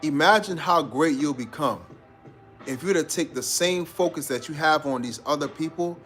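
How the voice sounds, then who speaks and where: A man's voice: a little high, normal speed, a bit bright, faint music behind. Laid-back New man, motivational chat. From New York. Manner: laid-back, slangy, calls listeners bro. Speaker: live-stream host. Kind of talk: casual motivational live-stream chat.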